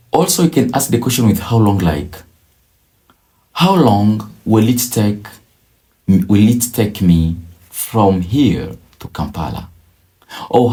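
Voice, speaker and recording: A man teaching a beginner language lesson, drilling each phrase slowly and repeating it several times. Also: fairly low pitch, dark tone. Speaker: English teacher.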